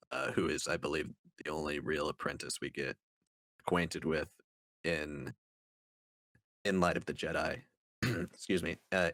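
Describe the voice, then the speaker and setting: A man's voice: low-pitched, fairly bright tone, no room sound. A man co-hosting a podcast, giving his thoughts in a casual, conversational book review discussion. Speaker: podcast co-host.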